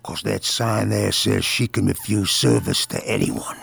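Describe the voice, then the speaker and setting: A man's voice: fairly low pitch, fairly even intonation, measured pace, creaky, noisy background. An actor playing a surly, taunting male customer in an audio drama.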